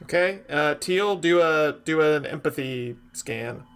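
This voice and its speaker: A man's voice: a little high, lively intonation, measured pace, fairly bright tone, clear, resonant tone. A man playing a tabletop role-playing game, talking casually and asking questions as his character.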